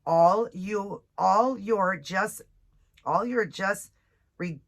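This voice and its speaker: A woman with a stuffed-up voice from a cold, chatting casually and reading devotional passages slowly. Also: mid-range voice, vocal fry. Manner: chatty, reads scripture slowly, apologizes often. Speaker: nail and devotional vlogger. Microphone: ring light setup, camera close.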